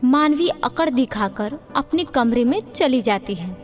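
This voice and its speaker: Slow, high-voiced woman storyteller. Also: animated, soft background music. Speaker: narrator. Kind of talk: scripted moral-story narration. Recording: reverberant hall-like sound.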